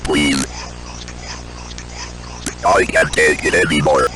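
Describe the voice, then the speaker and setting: A man playing a terrified, despairing civilian in an analog horror piece, pleading slowly under strain. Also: very deep voice, steady tone, pushed and bright, a little hiss or hum, heavily acted, rough recording. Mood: desperate, pleading. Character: terrified, despairing male civilian hiding from an entity.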